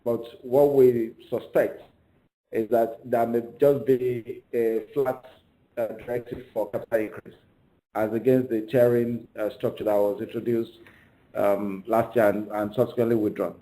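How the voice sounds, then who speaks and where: A man giving formal, halting, measured answers as a company executive on a conference call. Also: medium pitch, flat delivery, warm tone, low-quality recording.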